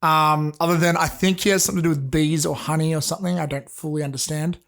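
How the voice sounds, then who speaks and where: A man's voice: fairly high pitch, fairly even intonation, fast, gravelly, a bit bright. Rambling man with a sore throat. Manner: rambling, hesitant, swears. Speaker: podcast co-host. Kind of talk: casual chat.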